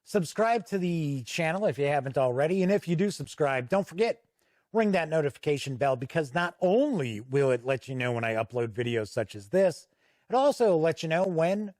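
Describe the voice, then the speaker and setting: A man's voice: fairly high pitch, a little mellow, no room sound, studio quality. A man hosting a casual, unscripted video review, speaking conversationally and leaning on key words for emphasis. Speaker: tabletop game reviewer and channel host.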